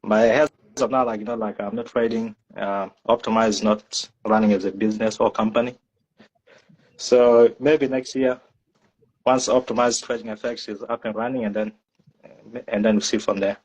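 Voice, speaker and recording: A man's voice: lowish voice, fairly even intonation, normal speed, poor audio. A man from South Sudan answering interview questions casually, hedging with many "like" and "you know" fillers. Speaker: guest.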